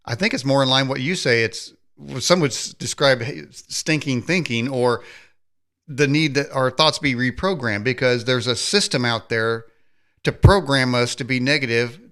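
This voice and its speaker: Bright-toned male podcast host, halting questions. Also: mid-range voice. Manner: rambling, halting questions with fillers. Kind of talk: interview questions.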